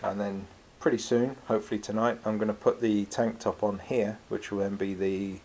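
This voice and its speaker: A man's voice: fairly low pitch, flat delivery, moderate pace, a little mellow, roomy sound, recorded from a distance. A man in his thirties with the flu, casually explaining his boat build while working in a cold garage workshop. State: has the flu.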